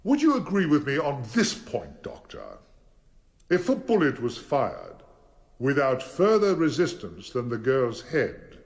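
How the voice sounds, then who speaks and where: Big theatrical barrister in a radio play, probing and insistent, voice swings up and down a lot. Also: male voice, medium pitch, huge pitch swings, measured pace. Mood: theatrical, incredulous. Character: formidable male barrister cross-examining witnesses, theatrical and insistent. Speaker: defence barrister. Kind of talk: courtroom radio drama acting.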